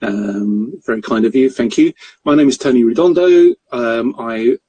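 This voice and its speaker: A middle-aged man from Mozambique, a podcast guest telling stories in a relaxed, self-deprecating way with frequent chuckles. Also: medium pitch, slightly rough, low-quality recording. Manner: jokey, self-deprecating storyteller who laughs often. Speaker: guest, currency broker. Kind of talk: casual interview chat.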